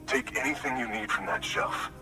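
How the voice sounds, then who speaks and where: A man's voice: moderate pace, lightly acted. An actor playing a desperate, grateful expectant father who pleads for help for his pregnant partner in a game cutscene. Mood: worried. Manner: urgent, shaken pleading. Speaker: mountain prepper awaiting medicine. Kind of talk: video game cutscene acting. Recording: distant mic with score music.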